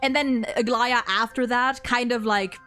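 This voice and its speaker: A woman hosting a casual, unscripted opinion chat about games, rambling and thinking aloud in halting phrases. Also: fairly high pitch, steady tone, a bit bright, faint music behind. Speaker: host of a video game ranking video.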